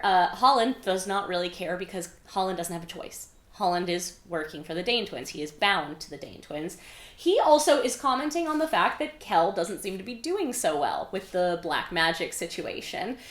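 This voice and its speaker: Female book-recap host, mid-pitched, conversational and wry, leans into dramatic emphasis, echoey room. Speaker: author hosting a readalong of own novel. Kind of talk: casual, animated book recap.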